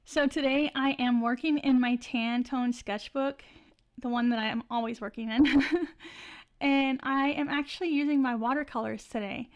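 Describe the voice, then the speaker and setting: A woman's voice: high-pitched, steady tone, moderate pace. A young woman narrating her drawing process in a casual, chatty way, her voice a bit scratchy from the flu. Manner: chatty, many likes and restarts, laughs often. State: scratchy voice, getting over flu. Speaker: art channel host.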